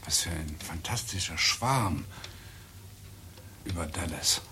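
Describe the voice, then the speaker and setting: An actor in a German radio play, playing a wary visitor who questions a military operator. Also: male voice, sits low, lively intonation, slow, sharp tone, a little hiss or hum, exaggerated voice. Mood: curious.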